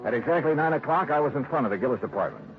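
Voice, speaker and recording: An actor playing a hardboiled, wry insurance investigator in the style of 1950s radio detective drama. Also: male voice, medium pitch, expressive, racing, music in the background, close to an everyday voice. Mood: brisk, matter-of-fact. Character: hardboiled, wry freelance insurance investigator narrating his case. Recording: noisy, phone-like old radio recording.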